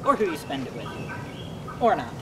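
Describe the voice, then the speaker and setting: A woman playing an easygoing, loyal young male helper in a homeschool dramatic reading, earnest and gently teasing. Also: low voice, big pitch swings, moderate pace. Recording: loud background noise.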